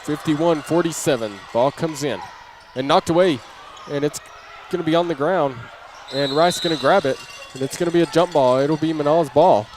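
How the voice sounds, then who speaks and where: A man's voice: a little high, moderate pace, hardly any pauses, a little mellow. A man doing live play-by-play basketball commentary in short, clipped running calls, as a sports announcer. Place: indoor gymnasium during a basketball game. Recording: noisy live gym broadcast.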